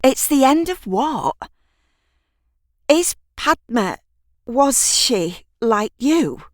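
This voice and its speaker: A caring girl, played by a woman, speaking in an audio drama. Her voice sits high and sounds anxious, urgent and hushed as she coaxes and soothes. The recording is dry and close. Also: sing-song, drawn-out words, no echo, heavily acted. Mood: anxious, pleading.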